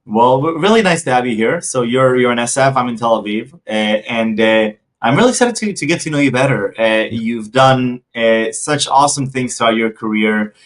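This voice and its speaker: A man speaks as the host of a conversational interview. His pitch is in the middle male range, and he feels his way into questions haltingly, doubling back on words as he goes. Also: animated. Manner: halting, repeats words while forming questions.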